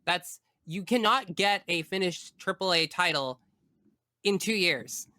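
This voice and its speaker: A man's voice: sits high, unhurried, sharp tone, no room sound, studio quality. A man talking casually as a podcast guest about video games, opinionated and emphatic, often wandering off into asides.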